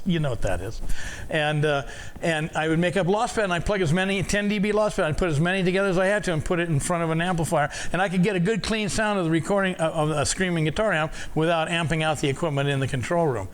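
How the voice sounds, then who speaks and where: A man's voice: a little high, fast, a little hiss or hum. Older man telling rambling studio stories. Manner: rambling, many restarts and repeated words. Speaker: audio engineer telling stories. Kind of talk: casual storytelling talk.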